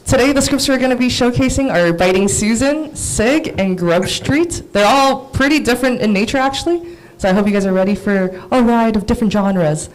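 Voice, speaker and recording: A woman's voice: fairly high pitch, fairly quick, poor audio. Upbeat woman hosting a live event. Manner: upbeat, chatty, with restarts. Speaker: event host. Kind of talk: casual welcome speech.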